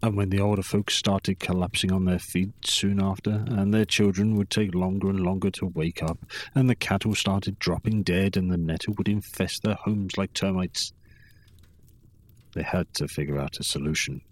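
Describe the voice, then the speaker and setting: Man acting a low, husky, weary drunken wanderer. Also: fairly even intonation, moderate pace, dry recording. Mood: grim, resigned. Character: weary drunken old wanderer recounting his bitter youth. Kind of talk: fantasy audio drama monologue.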